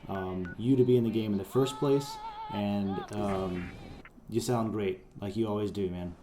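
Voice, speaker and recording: A man's voice: fairly low pitch, steady tone, measured pace, over music. A man presenting his own project in casual, conversational promotional narration. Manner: informal, conversational.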